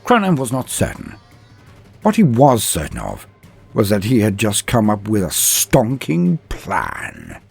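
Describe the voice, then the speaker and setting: A man's voice: on the low side, wildly swooping pitch, a little slow, creaky, darkish tone, breathy edge, faint music behind. A man narrating a comedic mock-epic story with archaic phrasing and joking asides.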